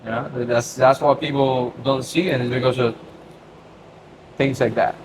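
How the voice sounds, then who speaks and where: A man's voice: medium pitch, steady tone, unhurried. A man co-hosting a casual podcast, talking in a laid-back way with swearing and Spanish slang. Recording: noisy ambience.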